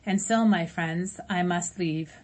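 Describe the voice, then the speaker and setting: A woman reading a gentle, wounded female robot in a storybook, measured and earnest in manner. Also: on the low side. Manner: measured, earnest, plainly spoken. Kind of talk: audiobook character reading.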